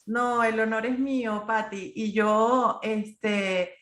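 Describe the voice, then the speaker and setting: A woman's voice: fairly high pitch, steady tone, takes it slowly, fairly bright tone. A woman hosting a podcast interview, friendly, with frequent "este" fillers. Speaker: podcast host. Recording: roomy echo.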